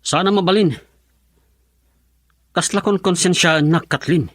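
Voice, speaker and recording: An actor with a Filipino accent playing a troubled, frustrated young husband in an Ilocano radio drama. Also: male voice, sits high, unhurried, a bit bright, faint music behind.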